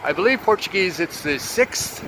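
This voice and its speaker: A man in his seventies talking about his life in a relaxed, reflective monologue, with many pauses. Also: high voice, background noise. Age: 70s. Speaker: vlogger. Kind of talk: casual personal monologue.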